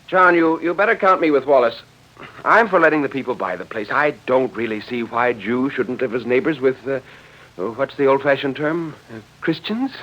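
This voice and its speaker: A man voicing a brisk, outspoken, wry woman in 1940s radio drama style. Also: medium pitch, lightly acted. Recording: dull old radio recording.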